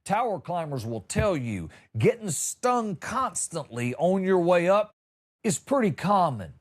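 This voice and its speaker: A man's voice: sits high, big pitch swings, a little slow. A middle-aged man hosting a comedy show, telling a story in a wry, exaggerated way with emphatic punchlines. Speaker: comedian and podcast host. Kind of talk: comic storytelling monologue.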